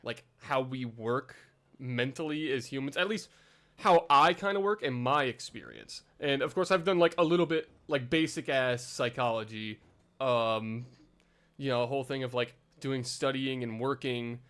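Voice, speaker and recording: A man's voice: medium pitch, normal speed, a bit bright, clear, resonant tone. A young man vlogging in a casual, rambling way, full of fillers and self-deprecating asides.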